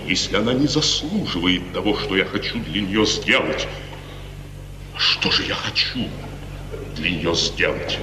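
An actor playing a tormented, solemn father in a 1950s Soviet radio tragedy. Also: lowish voice. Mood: brooding, tormented. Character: tormented, solemn father brooding on a terrible deed. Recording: distant, echoey, noisy 1950s radio recording.

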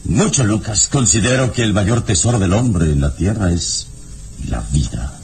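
An actor playing a calm, daring hero who talks in a measured, commanding way, in an old radio drama. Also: male voice, fairly low pitch, gravelly, soft background music. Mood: calm, firm. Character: serene, heroic man, calm and daring. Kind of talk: 1960s radio drama acting. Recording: noisy old radio recording.

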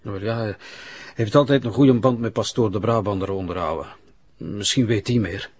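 A man's voice: on the low side, normal speed, husky. An actor playing a plain, hesitant Flemish father with a folksy manner in an audio drama. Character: plain, hesitant working father calming his wife.

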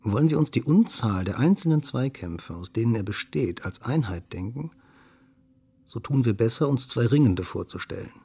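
A man reciting a literary radio-play monologue in a measured way, husky and gravelly, at times nearly whispering. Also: mid-range voice, animated, dark tone. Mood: grave, didactic.

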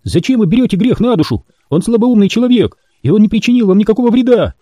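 A man's voice: high-pitched, very animated, quick pace, warm tone, husky, no room sound, studio quality, voice pushed up, full character voice. A man voicing a fierce, defiant young woman held captive, in a spoken story.

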